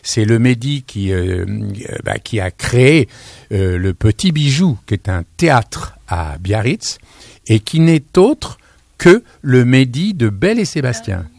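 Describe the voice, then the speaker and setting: A man's voice: medium pitch, very animated, a little slow, gravelly, a little mellow, no room sound. A man hosting a radio show from a studio, chatty and exclamatory, wandering into asides as he presents and interviews his guests. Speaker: radio host. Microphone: studio broadcast microphone.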